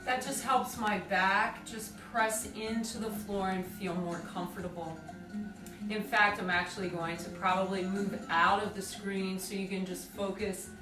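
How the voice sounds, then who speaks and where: A woman leading a guided meditation with slow, deliberate, soothing phrasing and pauses. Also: mid-range voice, fairly even intonation. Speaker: group exercise coordinator leading guided meditation. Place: indoors on a rug by firelight. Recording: roomy, distant mic, music behind.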